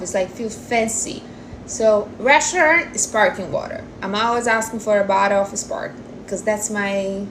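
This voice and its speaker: A woman's voice: mid-range voice, background noise. A woman of forty chatting casually and informally in non-native English phrasing, like a host answering viewers. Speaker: livestream host answering viewer questions on diet. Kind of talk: casual chat.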